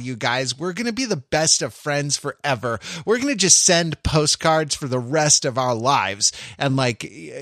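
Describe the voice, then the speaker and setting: American male podcast co-host, mid-pitched, dry recording. Halting, analytical, wry, thinks aloud. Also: fairly bright tone, no room sound. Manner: halting, circling through thoughts; wry, joking. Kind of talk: casual analytical chat.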